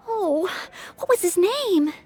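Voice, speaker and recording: An actress playing an earnest, studious young maid knight in a video game, thinking aloud in polite, formal phrases. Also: female voice, extremely high pitch, expressive, takes it slowly, dark tone, a little hiss or hum, heavily acted. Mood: pondering. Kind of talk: English video game voice acting. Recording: faint music behind the voice.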